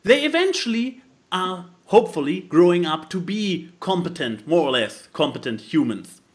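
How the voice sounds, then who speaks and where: Animated higher-pitched man, sarcastic podcast banter. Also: moderate pace. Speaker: podcast co-host. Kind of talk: casual comedic commentary, reading posts aloud.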